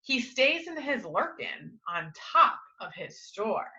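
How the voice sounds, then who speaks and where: A woman reading a picture book aloud to young children like a teacher, with expressive, dramatic emphasis and coaxing questions. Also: on the high side, slow pace, lo-fi sound. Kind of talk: animated children's storytime read-aloud with asides and questions.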